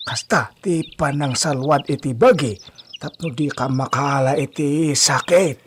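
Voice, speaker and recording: Man playing spry nonagenarian village grandpa, Ilocano accent, unhurried folksy drawl, comedy radio drama, faint noise and birdsong. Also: mid-range voice, natural voice. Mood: chatty, advising. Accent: Filipino, Ilocano. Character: spry humble old grandfather farmhand in his nineties. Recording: noisy track with bird chirps.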